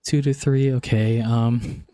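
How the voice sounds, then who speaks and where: A man's voice: mid-range voice, fairly even intonation, takes it slowly, dark tone, no echo. A young man streaming a video game, chatting casually in an informal, slangy way into a close microphone. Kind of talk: casual chat while gaming.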